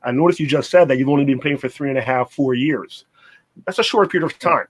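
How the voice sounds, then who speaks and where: A man's voice: mid-range voice, fast talker, husky. A middle-aged man co-hosting, giving conversational advice and repeating phrases for emphasis. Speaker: co-host, basketball mentor.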